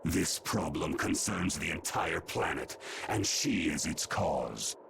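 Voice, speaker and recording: A man voicing an ancient, stern, imperious creature in a video game, with gravelly, formal, solemn speech. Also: deep voice, lively intonation, slow pace, noisy background, full character voice. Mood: stern judgment. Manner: formal, solemn, archaic phrasing. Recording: game music behind the voice.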